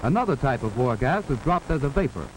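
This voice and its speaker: An old, clearly noisy film soundtrack carries the voice of an American man. His pitch is average for a man. He delivers scripted 1940s instructional narration with formal authority, setting out each instruction in a measured way. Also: big pitch swings. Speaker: narrator of a wartime civil defense instructional film.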